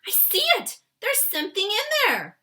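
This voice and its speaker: A woman voicing a small, curious girl who cries out excitedly. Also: very high-pitched, normal speed, pitched above the performer's own voice, full character voice.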